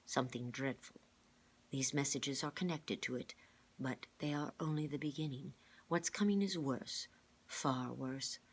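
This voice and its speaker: Woman playing an older male genius mentor, grave, modest, formal, low-pitched, storybook character. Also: breathy edge, exaggerated voice. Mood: grave, ominous. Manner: measured, formal, careful wording.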